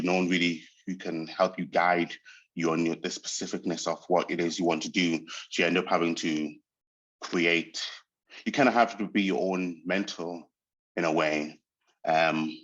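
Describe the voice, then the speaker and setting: A man reflects on his path as an actor, pausing often to search for words. He grew up speaking Portuguese first. The sound is dull and muffled. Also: sits low, fairly bright tone. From Angola. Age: 30s. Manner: thoughtful, hesitant, searching for words. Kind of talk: reflective interview monologue.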